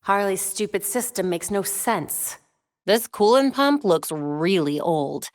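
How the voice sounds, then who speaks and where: A woman's voice: medium pitch, animated, dry recording, studio quality. A woman playing a brainy, determined young vigilante heroine in a video game, wry, earnest and conversational. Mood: focused. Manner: conversational, quick-witted, earnest, talking to herself and teammates.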